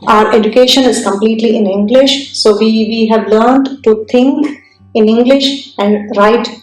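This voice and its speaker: A woman from India with an Indian accent, telling her career story in an interview, speaking thoughtfully with many pauses and restarts. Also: on the high side, darkish tone, reverberant, poor audio. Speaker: entrepreneur guest.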